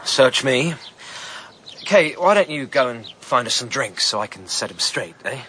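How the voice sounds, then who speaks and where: A sly, sardonic rogue in a radio play, openly mocking. His voice is bright and sharp-edged, and it moves lively in pitch. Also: measured pace, slight background noise. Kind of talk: radio drama acting.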